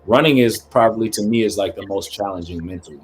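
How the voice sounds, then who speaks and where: A man's voice: fairly low pitch, fast. A man in his late thirties speaking as a podcast guest in casual conversation, explaining his views in a reflective way. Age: late 30s. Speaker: podcast guest, hybrid athlete.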